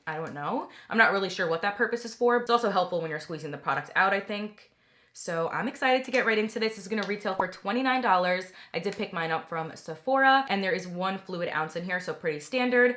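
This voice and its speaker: A young woman talking through a makeup product review in a chatty, enthusiastic way, her voice slightly raspy from a recent cold. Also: lowish voice, a bit bright. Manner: chatty, enthusiastic, many "like" fillers. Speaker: beauty vlogger.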